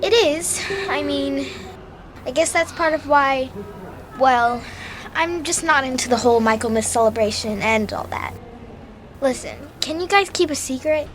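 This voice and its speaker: A woman playing a troubled young schoolgirl who confides haltingly in an audio drama. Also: high voice, a bit bright, faint music behind. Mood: sad. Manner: hesitant, halting, with many trailing pauses. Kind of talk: full-cast audio drama acting.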